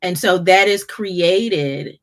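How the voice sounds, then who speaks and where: A woman in her fifties hosting a conversation, enthusiastic and stumbling over repeated words when excited. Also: on the low side, extremely slow. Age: 50s. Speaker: podcast host, business coach. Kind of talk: casual conversation.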